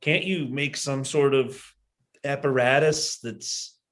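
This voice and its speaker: A male podcast co-host speaks at a middle pitch. He makes dry, teasing remarks at his friends during loose banter. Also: takes it slowly, a bit bright. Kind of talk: casual comedic banter.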